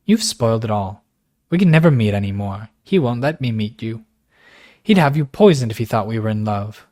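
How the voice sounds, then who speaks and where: A man voicing a frank, impulsive young woman from a wealthy family, speaking high and fast. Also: animated, a little mellow, lightly acted. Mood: cross. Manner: high, passionate, rushed.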